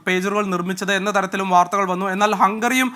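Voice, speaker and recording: Male desk reporter, high bright voice, live briefing with many hesitations. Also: fast talker. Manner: steady informative delivery with frequent hesitations. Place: news desk.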